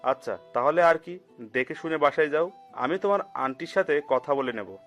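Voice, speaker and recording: Man playing hearty uncle, higher-pitched. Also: animated, normal speed, fairly bright tone. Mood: hospitable, insistent. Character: kindly, generous middle-aged uncle, girlfriend's father. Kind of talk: audio story acting. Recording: music under the voice.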